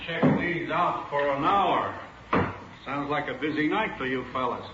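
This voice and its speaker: A man's voice: a little high, measured pace, natural voice. An obliging police office clerk played by a man speaks in a plain, workaday way. The 1940s radio drama recording is echoey and muffled, with heavy noise throughout. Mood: businesslike.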